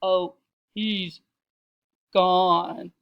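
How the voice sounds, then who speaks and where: A woman voicing a dignified female mastiff in a storybook, deep, refined and measured. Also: steady tone, creaky, clear, resonant tone, highs cut off, full character voice. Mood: composed. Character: cultured, dignified female mastiff lady dog.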